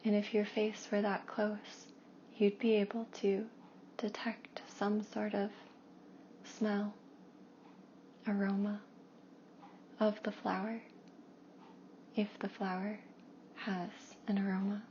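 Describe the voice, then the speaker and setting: A woman leading a guided meditation in a hushed, soothing way, with long pauses and soft, breathy phrase endings. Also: mid-range voice, flat delivery. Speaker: meditation guide and Reiki practitioner. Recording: dull lo-fi with faint background noise.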